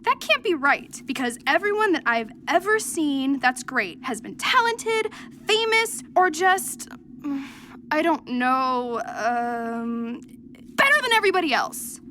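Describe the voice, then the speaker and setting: Very high-pitched, whiny little sheep. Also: female voice, big pitch swings, takes it slowly, sharp tone, slight background noise, heavily acted. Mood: boastful, puzzled. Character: proud, naive young sheep, whiny and excitable. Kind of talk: children's audio drama.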